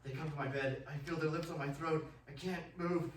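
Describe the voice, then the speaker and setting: Radio play: male actor as fearful young man in a trance, dramatic and halting, recorded roomy and at a distance. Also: fairly high pitch, fairly even intonation, lightly acted. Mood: haunted dread. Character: terrified young Englishman recalling a vampire's castle under hypnosis. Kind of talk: stage-like radio drama acting. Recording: roomy, distant mic, faint noise.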